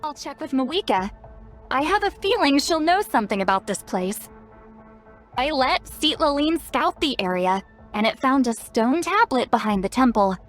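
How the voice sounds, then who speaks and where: A woman's voice: high voice, sing-song, moderate pace. A woman voicing a spry, youthful-sounding self-styled granny in a video game, playful, knowing and caring. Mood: concerned, thoughtful. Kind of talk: scripted video game dialogue. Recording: game music behind. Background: game music.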